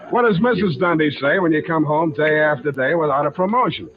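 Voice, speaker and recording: An actor playing a cocky, sardonic private detective in 1940s radio drama style. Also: male voice, a little high, a little fast, natural voice. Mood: taunting. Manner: sardonic, taunting, wisecracking. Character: hard-boiled male private detective, wisecracking and cocky. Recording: dull vintage radio recording, crowd-like noise behind.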